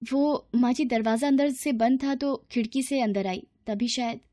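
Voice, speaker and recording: A woman voicing a gentle young daughter-in-law in a moral story, polite and earnest in manner. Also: a little high, normal speed, no room sound, clean studio sound, lightly acted. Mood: concerned, caring.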